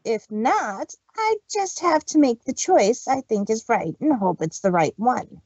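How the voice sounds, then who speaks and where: A brash female cartoon pegasus, voiced by a woman, speaks high and husky with emphatic, lively inflection. She sounds loyal and defiant, in a dull, dry home recording. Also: unhurried, no echo, exaggerated voice. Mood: earnest, resolute. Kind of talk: fan fiction audio drama acting.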